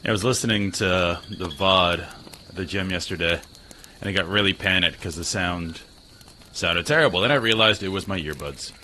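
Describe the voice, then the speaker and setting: Low-voiced man chatting on a stream. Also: normal speed, pushed and bright. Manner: chatty, self-deprecating, talks to chat. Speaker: gaming streamer. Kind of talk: casual live-stream chat. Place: small spare room at home. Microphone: desk mic. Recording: slightly tinny home stream mic, faint noise.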